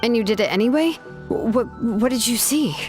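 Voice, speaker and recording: A woman's voice: on the high side, lively intonation, normal speed, darkish tone, soft background music, natural voice. A woman voicing an earnest, resolute young craftsman in a video game, sincere, polite and ready for self-sacrifice. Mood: alarmed.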